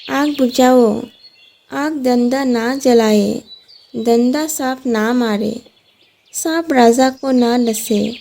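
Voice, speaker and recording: A woman's voice: fairly high pitch, creaky, dark tone, a little hiss or hum. A woman voicing a small hungry female bird in a children's cartoon story, pleading in chanted, repetitive rhymes. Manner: chanting, sing-song repeated pleas.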